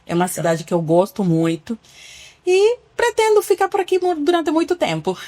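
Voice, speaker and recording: A woman's voice: sits high, sing-song, moderate pace, dark tone. Cuban-accented woman doctor, earnest. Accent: Cuban Spanish. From Cuba. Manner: earnest, explains with patient examples, some restarts. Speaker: family doctor. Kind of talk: podcast interview.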